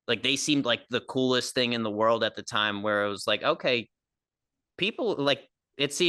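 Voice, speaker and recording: A man's voice: medium pitch, smooth, no room sound, studio quality. A nasal-voiced man in his twenties with a New Jersey accent, a comedian guest rambling loosely in a casual podcast interview. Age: 20s. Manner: rambling, filler-heavy, relaxed.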